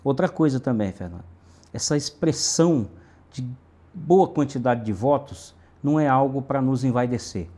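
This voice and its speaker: A man's voice: medium pitch, moderate pace, mellow. A middle-aged man from Brazil's Northeast, a city councillor answering interview questions emphatically with lists and repetition.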